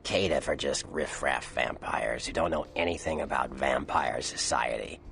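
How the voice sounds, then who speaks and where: Game vampire informant, worldly and sardonic, extremely low crackling voice, dry slangy explainer. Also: male voice, normal speed, fairly bright tone, faint music behind, heavily acted. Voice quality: gravelly. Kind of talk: video game character dialogue.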